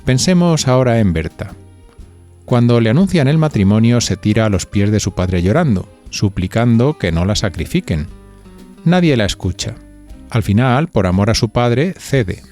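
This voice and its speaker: A man's voice: on the low side, expressive, moderate pace, mellow, soft background music. A man with an accent from Spain hosting a podcast, reading scripted narration and putting conversational questions to listeners. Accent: Spanish from Spain. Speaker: podcast host.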